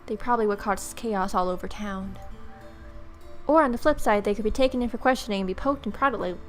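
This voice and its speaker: A woman's voice: medium pitch, brisk. A young woman reading visual novel narration aloud as a let's player. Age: young adult. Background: game music.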